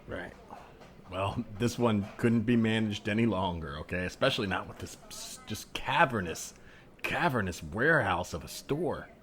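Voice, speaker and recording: Man acting desperate shopper, sheepish, low voice, halting, rambling, earnest, improvised comedy. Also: animated, a bit bright. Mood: exasperated, defensive. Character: desperate shopper relieving himself in a store aisle, sheepishly philosophical.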